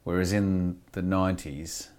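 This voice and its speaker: A man's voice: low voice, little pitch movement, measured pace, darkish tone. A middle-aged Australian man talking candidly in an interview, rambling with frequent restarts and "you know" fillers. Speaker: actor being interviewed. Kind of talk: reflective conversational interview.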